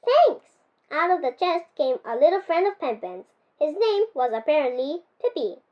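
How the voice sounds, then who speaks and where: Dull-sounding, very high-pitched young girl reading. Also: big pitch swings, normal speed. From Japan. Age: child, 10 years old. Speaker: young author reading her own picture book. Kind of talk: expressive storybook read-aloud.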